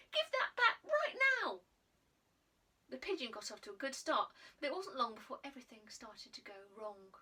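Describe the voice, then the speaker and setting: A woman reading a children's story in a bright, breathy voice set a little higher than most. Her delivery is playful and expressive, slipping into soft near-whispers. The mic is a bit distant from her. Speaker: author reading her own book.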